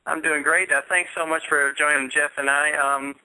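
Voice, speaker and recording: A man's voice: on the high side, steady tone, moderate pace, sharp tone, low-quality recording. A man hosting a conversational sports radio show, interviewing his guests.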